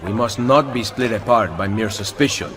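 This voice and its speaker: An actor playing a stern, noble assassin leader in a video game, measured and solemn, with an Italian accent. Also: male voice, fairly low pitch, crowd noise, close to an everyday voice. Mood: firm. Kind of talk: dramatic video game cutscene acting. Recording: loud music behind the voice.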